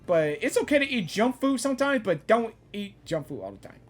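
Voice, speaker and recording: A woman hosting a casual game reaction, expressive and giggly, often repeating her phrases. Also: on the high side, brisk, faint music behind. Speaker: gaming reaction host.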